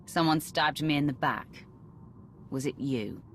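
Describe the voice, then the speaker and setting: An actress playing a cold, vengeful Sith warrior commander in a video game, menacing and commanding. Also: female voice, low-pitched, measured pace, a little hiss or hum. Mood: stern, commanding. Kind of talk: cinematic video game voice acting.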